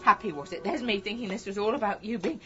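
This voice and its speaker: A woman's voice: mid-range voice, fast talker, muffled recording, faint music behind, natural voice. An actress with a British accent playing an exasperated, wounded wife and new mother in a radio comedy drama, blunt and bitter. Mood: sarcastic, angry. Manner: sarcastic, blunt.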